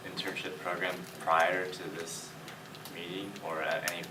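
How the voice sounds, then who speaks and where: A man's voice: sits low, little pitch movement, moderate pace. Man, student in audience, tentative, distant mic, noisy room. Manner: tentative, apologetic. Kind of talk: asking a question. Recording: echoey hall from far off, noisy.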